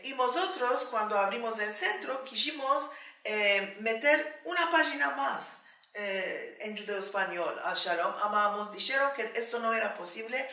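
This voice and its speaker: Slow, bright-voiced woman, roomy room. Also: mid-range voice. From Turkey. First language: Turkish. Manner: slow, thoughtful, with frequent pauses and fillers. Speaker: Ladino language advocate interviewee. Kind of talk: documentary interview. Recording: roomy sound, mic at a distance.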